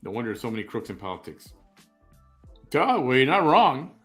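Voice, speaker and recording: A man's voice: mid-range voice, sing-song, brisk, a bit bright, faint music behind. Rambling opinionated man in his fifties. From Chicago. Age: 50s. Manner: rambling, opinionated, repeats himself, joking. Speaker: live-stream show host. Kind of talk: casual live-stream chat reading viewer comments.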